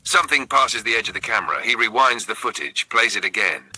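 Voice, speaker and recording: A man narrating a scripted horror story in a suspenseful manner, breaking it into short phrases with dramatic pauses. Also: fairly low pitch, lively intonation, bright, forceful tone, slightly rough, poor audio.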